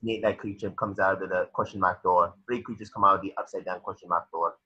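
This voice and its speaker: A man's voice: deep voice, steady tone, fast, bright, forceful tone, breathy edge, highs cut off. A man narrating a cartoon script's stage directions in a matter-of-fact way, sometimes stumbling over the words. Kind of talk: reading a cartoon script aloud.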